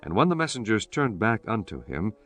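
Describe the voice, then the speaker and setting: A man's voice: fairly low pitch, sing-song, fairly quick, a bit bright. A man narrating a dramatized scripture audiobook in a solemn, formal, scripted style. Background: music.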